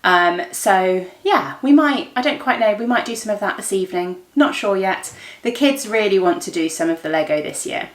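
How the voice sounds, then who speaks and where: A middle-aged woman talks as if to friends in a casual daily vlog. Her voice sits at an ordinary pitch, and she wanders informally through her thoughts as she speaks. The sound is roomy, with clear echo from an indoor space at home. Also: lively intonation, normal speed, hardly any pauses. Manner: informal, rambling, thinking aloud. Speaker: vlogger and host of a daily family and craft vlog. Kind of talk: casual chatty vlog to camera. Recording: roomy, echoey indoor room.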